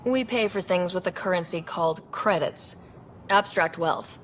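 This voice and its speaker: A woman's voice: on the low side, moderate pace, close to an everyday voice. Woman as a wry young space explorer. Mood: guarded, dry. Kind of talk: video game dialogue. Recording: clear background noise.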